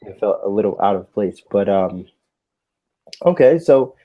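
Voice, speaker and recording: A man's voice: fairly low pitch, expressive, unhurried, muffled recording. An American man working as an English tutor, chatting casually and patiently in an encouraging, informal way. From United States. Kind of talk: casual conversational lesson.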